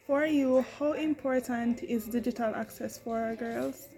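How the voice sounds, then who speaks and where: A woman interviewer at a conference, asking clear, projected questions outdoors. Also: on the high side, a little slow, dark tone, far from the mic, rough recording. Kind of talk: event interviews.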